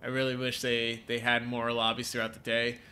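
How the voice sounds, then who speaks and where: A man's voice: mid-range voice, monotone, a little fast, sharp tone, smooth. A young man with a stuffed-up nose chatting casually with viewers while he streams a racing game from home, reading out chat and joking along. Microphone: desk mic, webcam framing.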